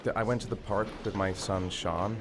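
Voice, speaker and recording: An actor voicing a distraught father being questioned by the police about his missing son, speaking haltingly. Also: sits low, fairly even intonation. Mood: shaken. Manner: halting, shaken. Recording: game audio with ambient noise.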